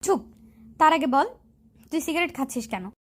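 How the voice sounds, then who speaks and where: A woman acts out a feisty young college girl for a romantic audio story. Her voice sits high, and she moves between bossy scolding and lovelorn pleading. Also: lively intonation, normal speed.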